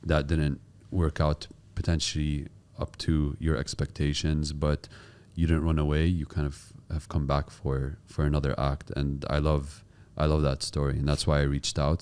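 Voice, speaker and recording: A man with an extremely low voice hosts an interview show. His tone is dark and relaxed, and he talks in a casual, conversational way as he puts questions and reflections to his guest. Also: moderate pace, few pauses, clean tone. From born in the United States, raised in the UAE from 1992. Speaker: podcast host and interviewer.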